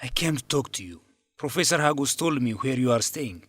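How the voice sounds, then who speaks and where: A man's voice: medium pitch, expressive, a little fast, a bit bright, slightly rough. An actor playing a young male student leader in an educational radio drama, emotional, insistent and defensive. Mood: urgent, earnest.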